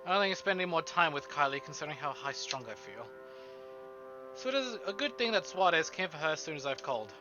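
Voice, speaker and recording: An expressive man with a fairly high voice, reading and chatting as a game streamer. His delivery is theatrical, and the audio is a bit muffled with clear music underneath. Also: quick pace. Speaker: streamer reading a visual novel aloud. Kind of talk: dramatic story narration and casual stream chat. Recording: dull band-limited stream, music behind.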